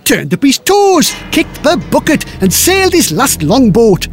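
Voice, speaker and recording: A man's voice: very high-pitched, huge pitch swings, a little slow, soft background music, pitched above the performer's own voice, exaggerated voice. A man voicing a sly, wheedling Viking captain in a storybook tale, with singsong mock-innocence. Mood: mock mournful.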